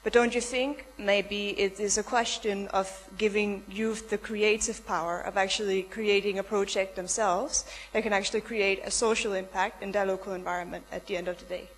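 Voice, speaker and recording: A woman's voice: medium pitch, steady tone, normal speed, few pauses. A woman from the audience earnestly putting a question to the panel. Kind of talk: question from the floor. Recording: reverberant hall with faint hum.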